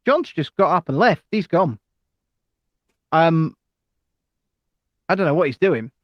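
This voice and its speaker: A man's voice: a little high, very animated, slow pace, dry recording, dull audio. Rambling man, dry close sound. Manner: rambling, tangent-prone, lots of ums. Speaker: podcast co-host. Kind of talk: casual live chat.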